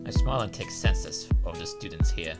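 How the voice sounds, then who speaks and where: A man with a low, lively voice records a casual let's play, chatting and reading game text aloud. Loud music plays behind him throughout. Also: fairly quick. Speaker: video game streamer, host.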